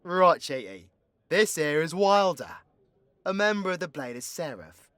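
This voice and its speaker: A man's voice: on the high side, sing-song, a little slow, fairly bright tone, clean tone, no echo. A man with a British accent voicing a crude, cocky, foul-mouthed supervillain in an audio roleplay, brash and mocking with sweary slang. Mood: sardonic, cocky.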